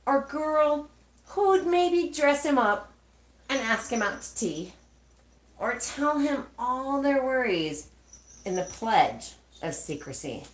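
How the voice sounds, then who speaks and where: A woman reading a children's storybook aloud expressively, with measured, dramatic phrasing and pauses. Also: fairly high pitch. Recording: echoey, roomy sound.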